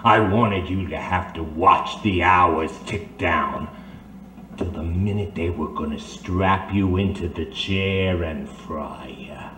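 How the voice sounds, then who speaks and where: An actor playing a disfigured, vengeful crime boss, bitter and unhinged, in a theatrical detective radio drama. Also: male voice, deep voice, takes it slowly, exaggerated voice. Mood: bitter, menacing. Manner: menacing, theatrical, swinging from shouts to whispers. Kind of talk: old-time radio detective drama acting. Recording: echoey room, faint background noise.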